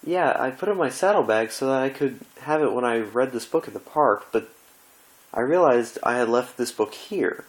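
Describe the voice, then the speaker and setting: A man's voice: medium pitch, lively intonation, moderate pace, voice pushed up, lightly acted. A man voicing a studious young female pony in a cartoon fan story, patient and matter-of-fact with a touch of annoyance. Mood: preoccupied.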